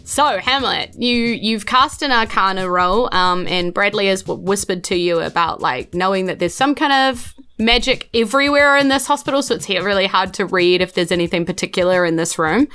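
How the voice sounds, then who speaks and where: A woman's voice: medium pitch, normal speed, bright, forceful tone, clear, resonant tone. A woman with a New Zealand accent runs a tabletop game. She narrates off the cuff in a casual, conversational style, with light music underneath. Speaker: game master, podcast host.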